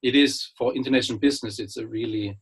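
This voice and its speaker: A middle-aged man with a German accent gives a relaxed webinar talk through a computer mic. His voice is slightly husky and sits at an ordinary pitch. He restarts sentences often and fills pauses as he goes. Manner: hesitant, many fillers and restarts, friendly. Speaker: guest speaker, business lecturer. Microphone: computer mic on a video call.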